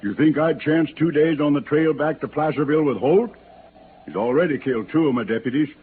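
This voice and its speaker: Guy doing a gruff bossy frontier sheriff, scornful, crackly old radio western sound. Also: on the high side, brisk, lightly acted. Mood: hard, defiant. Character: hard, vengeful male Western sheriff, scornful and commanding. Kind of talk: 1950s Western radio drama acting. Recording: scratchy, noisy, narrow old radio recording.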